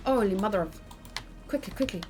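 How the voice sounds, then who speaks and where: A woman giving casual, excitable let's play commentary, talking to herself and repeating words in quick bursts. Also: medium pitch, music in the background. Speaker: gaming let's play host.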